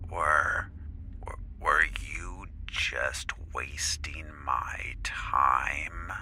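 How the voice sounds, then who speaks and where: Man acting a very deep, gravelly villainous counselor. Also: bright, forceful tone, exaggerated voice. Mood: cold, stern. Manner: deliberate, imperious, threatening. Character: menacing, self-important male corporate counselor villain. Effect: radio transmission with interference. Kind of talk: audio drama acting. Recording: faintly noisy, distorted radio transmission.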